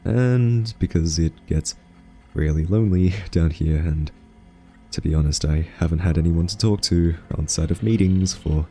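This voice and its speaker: A man playing an earnest, awkward scientist in a soft-spoken ASMR audio roleplay, talking haltingly with nervous ums. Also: low voice. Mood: tender, hesitant. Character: earnest, awkward male scientist pleading with a captive AI.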